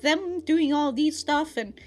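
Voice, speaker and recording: A woman's voice: sits high, slow, a little mellow, faint music behind. A woman giving casual, rambling reaction commentary as a host, with a giggly, slangy way of talking and some swearing. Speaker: anime reaction host and reviewer.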